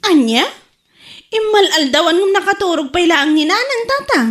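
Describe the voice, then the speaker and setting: An inquisitive young Ilocano child in a radio comedy. The voice is high and full of curious questioning. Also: female voice, big pitch swings, normal speed, fairly bright tone, exaggerated voice. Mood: curious, puzzled.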